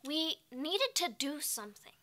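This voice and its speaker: A young actress playing a feisty farmworker sister looking back in a dramatized oral history. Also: female voice, high-pitched, big pitch swings, a little slow, pushed and bright, exaggerated voice. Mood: resolute. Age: young adult. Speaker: actor performing a monologue.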